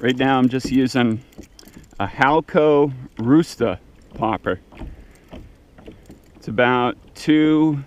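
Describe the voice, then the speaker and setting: Male fishing vlogger, 30s, mid pitch, relaxed hushed commentary, outdoor action-cam sound. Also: takes it slowly, long pauses, slight background noise. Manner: quiet, low-key running commentary, bursts of excitement. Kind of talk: casual first-person narration while fishing. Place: kayak out on open sea. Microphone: action camera mounted on the kayak.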